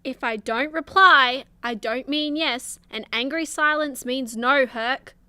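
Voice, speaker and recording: A woman playing a sharp-tongued, wry superheroine in a comedy radio play, blunt and sarcastic. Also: high-pitched, slow pace, clean tone, no echo, studio quality, heavily acted. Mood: angry, sarcastic. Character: sharp-tongued, wry young superheroine, a frank drinking buddy.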